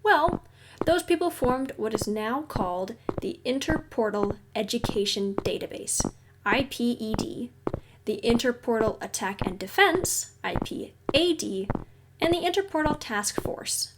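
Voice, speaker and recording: A woman playing a caring, reassuring counselor at a secret base in an audio roleplay, speaking gently and explaining things soothingly. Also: on the high side, lively intonation, measured pace, background noise. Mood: calm, explanatory. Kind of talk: audio roleplay addressed to the listener.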